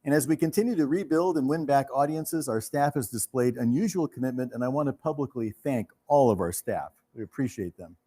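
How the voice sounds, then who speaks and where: A man giving a live thank-you speech as a company leader, earnest and measured, with pauses. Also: medium pitch, lively intonation, warm tone. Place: theater, live before an audience.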